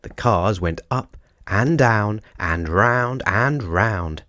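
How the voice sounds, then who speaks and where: A man narrating a children's storybook as an expressive storyteller. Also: on the low side, slow pace, no echo, studio quality.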